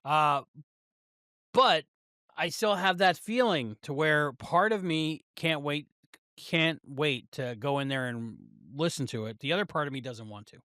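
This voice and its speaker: Bright, higher-pitched man chatting. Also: a little slow, no echo, studio quality. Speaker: podcast co-host. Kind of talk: casual chat, review podcast.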